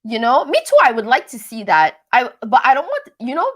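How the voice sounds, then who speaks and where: Higher-pitched woman, casual rambling livestreamer. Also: huge pitch swings, fairly quick. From Canada. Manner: rambling, self-deprecating, stumbles over words; playfully switches between accents. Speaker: livestream host of a reality TV recap channel. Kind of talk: casual live chat, answering viewer comments.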